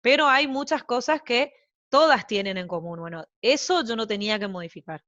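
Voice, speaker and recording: A woman's voice: a little high, expressive, normal speed, a bit bright, dry recording, dull audio. A woman with an Argentine accent, a translator being interviewed, talking in a chatty, rambling way with many fillers and asides. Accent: Argentine Spanish. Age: young adult to middle-aged, mother of young children. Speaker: translator guest. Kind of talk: casual interview chat.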